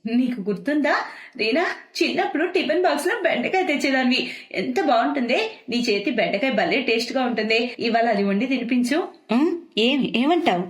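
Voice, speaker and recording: A woman's voice: sits high, lively intonation, normal speed, echoey room, voice pushed up. A woman voicing a cheerful, affectionate young childhood friend in a cartoon story, chatty and coaxing. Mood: fond, eager. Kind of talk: dramatized moral story for cartoon.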